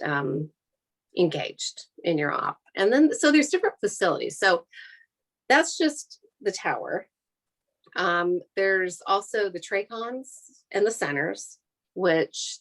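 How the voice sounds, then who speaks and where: Lower-pitched American woman explaining her job. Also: a bit bright. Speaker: air traffic controller giving a career talk. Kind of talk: informal explanatory talk to an audience.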